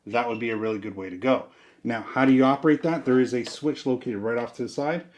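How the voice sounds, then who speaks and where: A man's voice: medium pitch, fast talker. A man giving a casual product demonstration, explaining step by step in a conversational, folksy way.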